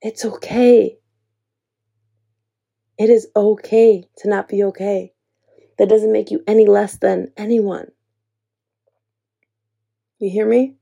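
A woman's voice: mid-range voice, fairly even intonation, slow pace, creaky, pauses often, mellow, breathy edge, highs cut off. A young adult woman speaking earnestly and encouragingly, talking personally to her listeners and calling them sis. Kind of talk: heartfelt personal talk to camera.

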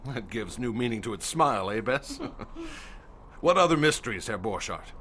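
An actor playing a curious, confident celebrity magician with a breezy, hearty manner in a radio drama. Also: male voice, mid-range voice, very animated, moderate pace, sharp tone, slight background noise.

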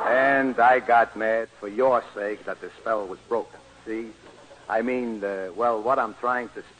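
An actor playing a pushy, streetwise racetrack gambler, blunt and slangy, in a noisy 1940s radio comedy broadcast. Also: male voice, fairly low pitch, fairly bright tone, lightly acted.

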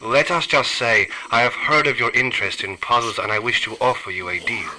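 Sinister dealer, male, cold and clipped, bright edgy tone, hushed menace, played by a man. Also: mid-range voice, fairly quick, low-quality recording. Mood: cold, menacing. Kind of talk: radio play acting.

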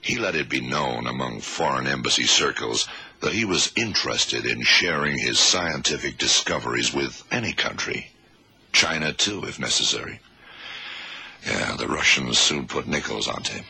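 An actor playing a shrewd detective in a radio drama, deliberate and authoritative as he lays out a case. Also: expressive, sharp tone, full character voice. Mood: assured, explaining. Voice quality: very deep, gravelly, husky. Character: shrewd male American blind detective explaining a case. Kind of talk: 1970s radio drama acting.

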